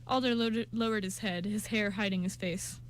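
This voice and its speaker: A woman's voice: medium pitch, steady tone, moderate pace, slight background noise, rough recording. A woman reading scripted narration for an amateur radio play, occasionally stumbling over words.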